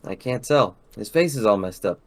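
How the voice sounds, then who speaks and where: A man's voice: on the low side, animated, normal speed, darkish tone. A man playing a worried, defensive young man in an amateur script reading.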